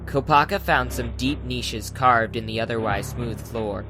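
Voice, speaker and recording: A man narrates a dramatized audiobook in a scripted, dramatic style. His voice sits at a middle pitch. Music plays clearly underneath. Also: unhurried.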